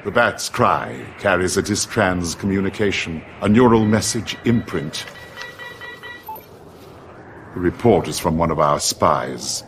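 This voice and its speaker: Grave, theatrical military adviser, swooping intonation. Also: male voice, deep voice. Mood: ominous. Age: middle-aged. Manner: measured, formal, theatrical delivery. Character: grave, shrewd middle-aged male military mentat adviser. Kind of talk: dramatic live-action game cutscene acting. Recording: loud ambient hum behind voice.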